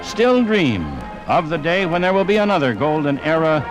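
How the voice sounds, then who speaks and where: A man playing a jovial sportsman in a 1950s radio drama, reminiscing in a conversational, animated way. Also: on the high side, moderate pace, over loud music, natural voice, rough recording. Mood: amused storytelling. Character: jovial sportsman reminiscing about football on a fishing trip.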